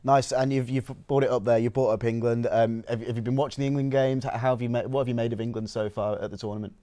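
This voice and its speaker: A man's voice: mid-range voice, fairly even intonation, quick pace, dark tone, no echo. A young man asking questions casually as a student podcast interviewer. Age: young adult.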